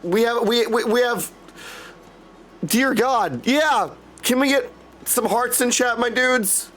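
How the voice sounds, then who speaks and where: A man's voice: very high-pitched, slow, a bit bright. A man hosting a casual live stream, chatting to his viewers in an effusive, gushing way and calling them dudes. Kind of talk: casual livestream chat to viewers.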